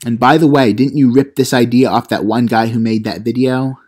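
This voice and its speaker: A man's voice: medium pitch, fairly even intonation, moderate pace, darkish tone, natural voice. A man voicing a feisty, threatening young trick-or-treating boy in a comedy audio play. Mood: feisty, demanding.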